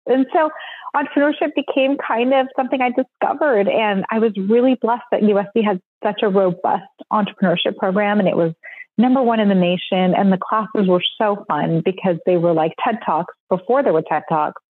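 A woman's voice: medium pitch, brisk, no room sound. A woman in her forties, originally from Sudan, a company founder chatting reflectively as a podcast guest and laughing easily. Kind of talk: podcast interview. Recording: tinny phone-like line.

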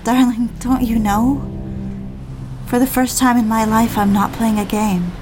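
An actress playing an adoring, playful newlywed wife in a romantic audio drama, with tender, coy, rather formal delivery. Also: female voice, on the high side, steady tone, measured pace, noisy background, close to an everyday voice. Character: adoring, teasing young newlywed wife on honeymoon. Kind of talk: romantic audio drama dialogue and first-person narration. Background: music.

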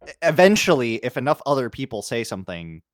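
A man chatting casually as an interviewer, halting and self-deprecating as he feels his way through his thoughts. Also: medium pitch, sing-song, no echo, clean studio sound. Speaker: interviewer, host. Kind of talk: casual chat.

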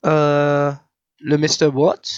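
A woman's voice: sits low, monotone, slow pace, darkish tone, clear, resonant tone, muffled recording. A woman voicing an ageless twelve-year-old French boy with a put-on French accent, pompous and fussy about how his name is said. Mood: haughty. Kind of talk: improvised comedy podcast character.

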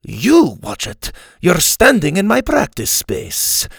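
A man's voice: sits high, sing-song, measured pace, creaky, darkish tone, no room sound, clean studio sound, pitched above the performer's own voice, full character voice. An actor playing a swaggering male swordsman with a Spanish accent, boastful and theatrical.

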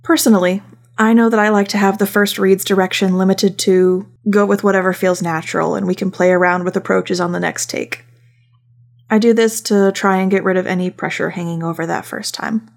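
A woman's voice: mid-range voice, fairly even intonation, brisk, creaky. A woman hosting a podcast as a voice director, narrating conversationally with wry asides. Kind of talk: casual semi-scripted podcast narration.

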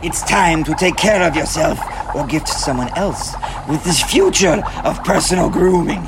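Man acting a pompous, gravelly pitchman. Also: moderate pace, very noisy. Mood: grandly enthusiastic. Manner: formal, grandiose, slightly awkward phrasing. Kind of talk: comic scripted ad read in character.

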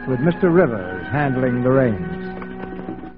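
A man's voice: mid-range voice, sing-song, takes it slowly. A man narrating a radio drama in scripted 1940s announcer style. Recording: muffled 1940s radio, music behind.

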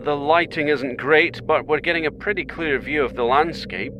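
Man acting a composed astronaut captain, rumbling noise behind. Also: moderate pace, highs cut off, close to an everyday voice. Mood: composed, focused. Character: brave British astronaut captain, calm, dryly humorous. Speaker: mission captain. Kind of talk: sci-fi audio drama acting. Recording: noisy craft rumble behind.

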